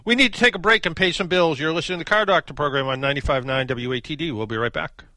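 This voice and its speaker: Bright-voiced man hosting a radio car show. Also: a little high, very fast, no echo. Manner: conversational, with many fillers and restarts. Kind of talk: call-in radio talk. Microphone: studio microphone.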